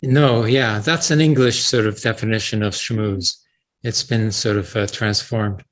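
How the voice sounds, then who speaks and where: A man's voice: on the low side, steady tone, a little slow. A Canadian man in his seventies whose first language is Yiddish speaks as a guest on a video call, hesitant and full of fillers as he searches for words. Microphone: webcam on a video call.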